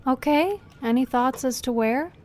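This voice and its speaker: A woman's voice: high-pitched, moderate pace, a little mellow, close to an everyday voice. Commanding, caustic police boss played by a woman. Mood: impatient, caustic. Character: brisk, sarcastic, demanding woman police chief. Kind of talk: audio drama acting.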